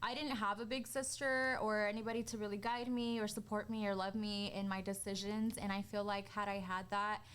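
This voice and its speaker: A woman's voice: mid-range voice, flat delivery, fairly quick, hardly any pauses, fairly bright tone, clear, resonant tone. A woman in her twenties co-hosting a podcast in a casual, candid, informal way, often dropping "like" into her sentences. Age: 20s. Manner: informal, candid, peppers talk with "like". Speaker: podcast co-host.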